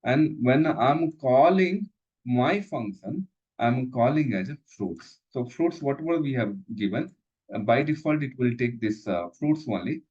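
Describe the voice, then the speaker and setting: A man teaching programming, pausing often and repeating phrases with many fillers. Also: mid-range voice, expressive, a little mellow, slightly rough, dull audio. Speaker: instructor. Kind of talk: live coding lesson walkthrough.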